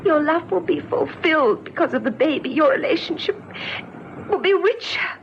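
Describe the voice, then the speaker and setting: An actress playing a despairing young mother in an old radio drama, bitter yet gentle, speaking in an earnest, halting way. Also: high-pitched, expressive. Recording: dull, faintly noisy old radio recording.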